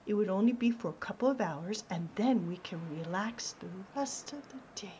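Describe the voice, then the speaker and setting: A woman's voice: mid-range voice, expressive, moderate pace, dark tone, muffled recording, slight background noise, close to an everyday voice. A woman playing an affectionate, wry wife in a radio play. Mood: coaxing. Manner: wry, teasing. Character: teasing, affectionate working-class Irish-American wife.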